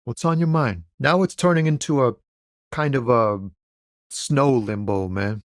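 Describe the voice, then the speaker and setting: An actor playing a laid-back, philosophical truck driver and aspiring poet in a video game, talking in a musing, slangy way. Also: male voice, medium pitch, measured pace, no echo, clean studio sound, lightly acted. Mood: friendly, wry. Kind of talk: conversational video game character dialogue.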